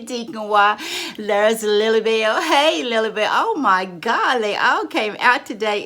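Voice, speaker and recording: A bright, sharp-edged American woman's voice, deliberate and effusive. She is a gracious, sociable pastor welcoming her flock, as acted by a woman in a comedy skit. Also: lowish voice. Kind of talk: solo character skit, church greeting.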